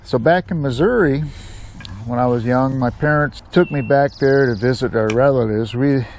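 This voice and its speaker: An American man hosts a storytelling channel in a casual, homespun way. His voice sits at an average pitch for a man. He rambles along in plain, colloquial speech, like someone sharing personal memories. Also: moderate pace, a little mellow. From United States, Seattle area. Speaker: storyteller, YouTube channel host.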